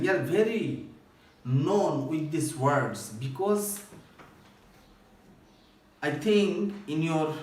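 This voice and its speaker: A man with a Bangladeshi accent teaching a school English grammar lesson, explaining deliberately and emphatically phrase by phrase. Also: a little high. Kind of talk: recorded classroom grammar lesson. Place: echoey indoor room at a board. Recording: very echoey room, distant microphone.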